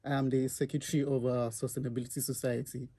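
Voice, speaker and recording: A young man from Nigeria, speaking hesitantly with many filler pauses as a student guest on a campus radio chat show. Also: medium pitch, flat delivery, dark tone.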